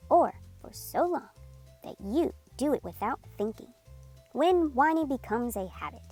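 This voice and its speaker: A woman reading a children's picture book aloud in a clear, gently instructive way. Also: high-pitched. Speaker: storyteller.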